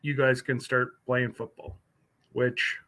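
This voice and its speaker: A man's voice: medium pitch, flat delivery, takes it slowly, pushed and bright. A man hosting a casual live stream, rambling along as he answers viewers by name, with many fillers and restarts. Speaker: livestream host.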